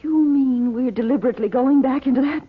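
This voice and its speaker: Frightened, husky mother in old radio drama. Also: high-pitched, fast talker. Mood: afraid, pleading. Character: frightened, anguished mother haunted by evil. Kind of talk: 1940s radio drama acting. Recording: muffled old radio recording.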